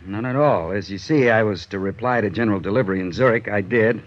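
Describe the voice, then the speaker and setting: An actor playing a serious, brisk insurance office manager in a 1950s radio drama, speaking matter-of-factly. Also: male voice, lowish voice, a little hiss or hum, close to an everyday voice. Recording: muffled vintage 1950s radio recording.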